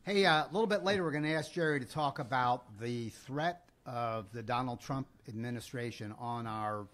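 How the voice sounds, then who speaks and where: A man's voice: medium pitch, normal speed, a bit bright. A man co-hosting a podcast in casual, unscripted chat, with a chatty storytelling manner. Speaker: podcast co-host.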